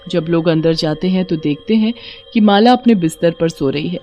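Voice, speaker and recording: A woman's voice: on the low side, normal speed, mellow, soft background music. A woman narrator reading a scripted horror story for a cartoon.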